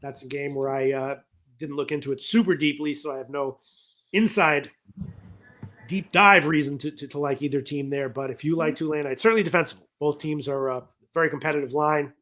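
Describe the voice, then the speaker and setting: A man hosts a sports betting show, his voice a little high and very bright. He rambles, breaks off to correct himself and reads viewer comments aloud. His voice is starting to go hoarse. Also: fairly even intonation, brisk. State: losing his voice. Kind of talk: casual betting talk on a livestream.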